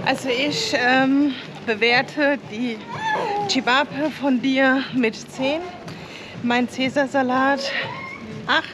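A woman in her thirties chatting casually as co-host of a travel vlog, talking into an action camera at arm's length and giving measured food verdicts. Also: high-pitched, fairly even intonation, music in the background. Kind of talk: casual chat. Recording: noisy outdoor ambience.

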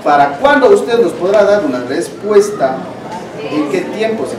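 A man's voice: sits high, big pitch swings, unhurried. A young man with an Ecuadorian accent publicly challenging officials as a community leader. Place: meeting hall, indoors. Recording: distant, echoey, noisy room.

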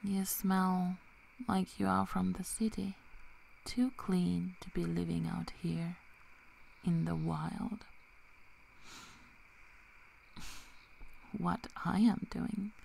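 A woman playing a young, protective, teasing female werewolf in a soft, half-whispered ASMR roleplay, with long pauses. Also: fairly low pitch, steady tone, slight background noise, full character voice. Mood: warning, guarded. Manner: slow, hushed, breathy delivery with long pauses.

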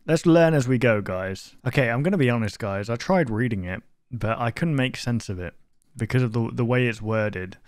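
A man's voice: medium pitch, normal speed, no echo. A British man in his twenties giving casual reaction commentary and reading bits aloud into a close desk microphone. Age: 20s. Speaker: reaction video host. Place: bedroom gaming setup. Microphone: close desk microphone, seen. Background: music from the video he watches.

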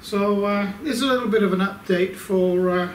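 An older man giving a casual update from his home workshop, talking slowly with lots of ums and restarts while holding the camera. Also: high-pitched, a little hiss or hum. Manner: slow, rambling, many fillers. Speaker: workshop video maker.

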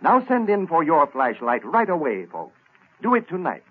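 An old-time radio announcer, a man with lively, swooping pitch and an emphatic selling delivery. His voice is husky and sounds muffled and thin on a vintage broadcast recording. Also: moderate pace. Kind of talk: 1930s radio sponsor announcing.

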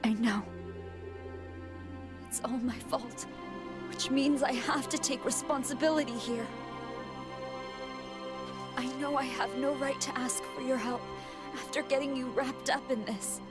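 A woman voicing a gentle, remorseful female android in an anime game, earnest and apologetic. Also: high voice, steady tone, a little slow, long pauses, loud music behind the voice. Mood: guilty, pleading.

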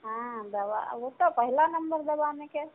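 A woman's voice: high-pitched, takes it slowly. A woman in a casual phone conversation, answering in short, hesitant replies. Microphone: phone call. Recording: tinny phone call with background noise.

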